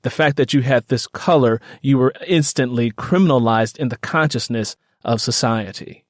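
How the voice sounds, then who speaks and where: A man hosting a podcast interview, speaking conversationally with halting, repetitive phrasing. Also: mid-range voice, no echo, studio quality. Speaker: podcast host.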